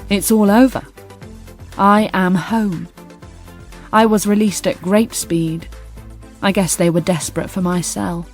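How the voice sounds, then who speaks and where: A woman's voice: fairly low pitch, a little mellow. An actress playing a dry, sardonic, composed young woman killer narrating her story in an intimate radio drama. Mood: relieved, content.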